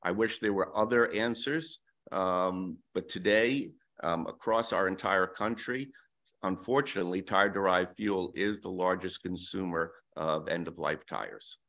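Gravelly deep-voiced older man testifying. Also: measured pace, a bit bright. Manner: hesitant, many fillers and restarts, defensive. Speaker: testifying witness for a business group. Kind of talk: hearing testimony. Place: legislative hearing room. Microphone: desk microphone.

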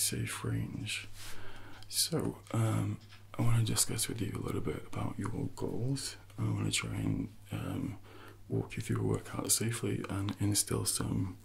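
A man in his thirties speaking softly and gently in an ASMR personal trainer role-play, close to the microphone with unhurried, hesitant pauses. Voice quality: soft, breathy, gravelly low voice.

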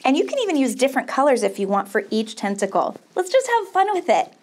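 Female children's craft host, middle-aged, high-pitched, encouraging, upbeat, speaking directly to kids. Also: very animated, fast talker, darkish tone. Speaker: children's camp counselor host. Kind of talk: children's craft tutorial presenting. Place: small studio set, indoors.

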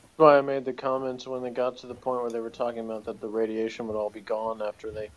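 A man's voice: medium pitch, monotone, quick pace, a little hiss or hum, poor audio. Man from Texas chatting casually. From Texas, United States. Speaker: podcast co-host. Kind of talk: casual TV episode commentary chat.

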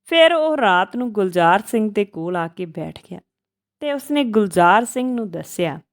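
A woman's voice: mid-range voice, expressive, moderate pace. A woman narrating an emotional moral story, reading in short, broken phrases.

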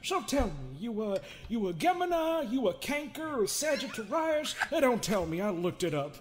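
A man's voice: high voice, sing-song, moderate pace, music in the background. A man voicing an unhinged, sardonic therapist with folksy menace, theatrical and mocking, in an improvised comedy dub of a horror game. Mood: sly, amused.

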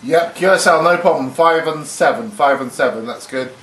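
A man's voice: fairly high pitch, moderate pace, fairly bright tone. A man chatting as an amateur radio operator in a hesitant way, repeating himself. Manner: hesitant, repeats phrases and spells words phonetically. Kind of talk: casual ham radio contact chat. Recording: echoey room, faint radio hiss.

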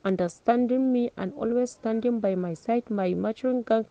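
A woman voicing an emotional teenage girl giving a halting, slow graduation speech, in a dull lo-fi recording. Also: a little high, mellow, dry recording, close to an everyday voice. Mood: tearful.

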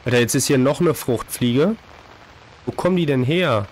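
Hoarse man chatting over a horror game. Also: mid-range voice, moderate pace, poor audio. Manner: chatty, jumpy reactions to scares. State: hoarse from a cold. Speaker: let's play host. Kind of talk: casual gameplay commentary. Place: home streaming room, indoors. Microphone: desk microphone, headset on.

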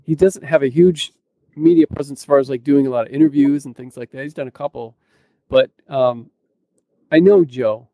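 A man's voice: mid-range voice, moderate pace, dark tone, no echo, poor audio. A man chatting casually and informally as a podcast co-host, close to a mic in a small room. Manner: informal, conversational. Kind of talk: casual chat. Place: small room at home, indoors. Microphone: close studio mic on boom arm.

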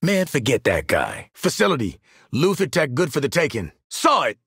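A tough video game mercenary sniper, with a forceful, gravelly voice that sits fairly high and swings widely in pitch. He talks with cocky, streetwise swagger and wry, slangy profanity. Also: huge pitch swings, moderate pace, dry recording, studio quality, heavily acted. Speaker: game character voice actor. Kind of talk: short video game combat and banter lines.

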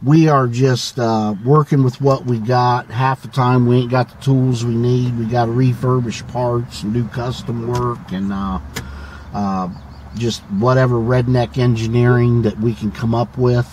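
A middle-aged man hosting a casual vlog from behind the wheel, talking in a relaxed, rambling, folksy way and laughing often. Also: medium pitch, unhurried. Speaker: channel host. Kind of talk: casual vlog talk while driving. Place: inside a moving car. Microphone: camera held by a passenger. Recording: loud road and engine noise, mic a little far.

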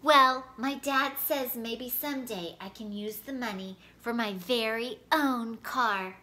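Woman as young girl, storybook, polite, earnest, high pitch, unhurried. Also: sharp tone. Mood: hopeful.

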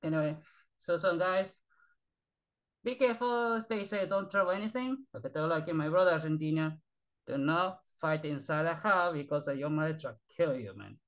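A man's voice: on the high side, moderate pace, fairly bright tone. Man, native Spanish speaker, broken English, tired, sighing, repetitive, webcam, small bedroom. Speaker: channel host. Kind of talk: rambling webcam outro. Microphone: webcam at desk.

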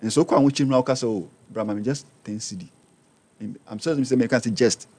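A Ghanaian man in his forties, a radio guest telling his life story with animated delivery, switching between Twi and English in a studio. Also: mid-range voice, fast talker, breathy edge. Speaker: interview guest, business owner. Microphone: studio mic on boom arm.

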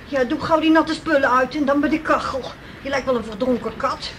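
Caring, sceptical country wife, female actor, high-pitched, homely scolding, noisy vintage radio drama. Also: a little fast, close to an everyday voice. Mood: concerned, fussing. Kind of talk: 1960s radio play acting. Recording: noisy old radio play recording.

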